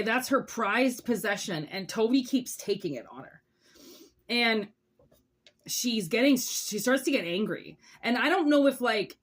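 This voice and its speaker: A woman reading tarot in a casual, chatty way, rambling through asides and checking in with the listener as she goes. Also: a little high. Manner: rambling, conversational, with asides and rhetorical check-ins. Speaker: tarot reader hosting zodiac readings.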